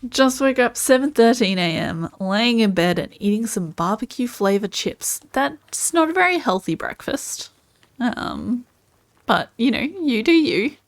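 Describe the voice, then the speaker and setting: A woman's voice: a little high, expressive, a little slow, dry recording. An Australian woman chatting casually while streaming gameplay and answering viewers' comments.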